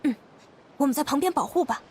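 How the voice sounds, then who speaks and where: A woman's voice: high voice, expressive, quick pace, a little mellow, slight background noise. A woman voicing a small, confident, caring companion in an anime-style video game, chirpy and encouraging. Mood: concerned. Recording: music behind the voice.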